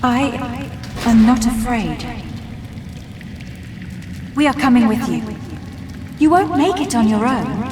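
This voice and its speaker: A woman voicing a gentle young girl with mystical gifts in a fantasy video game, speaking in a soft, earnest, solemn way. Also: a little high, slow pace, pauses often, noisy background. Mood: determined. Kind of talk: dramatic video game acting.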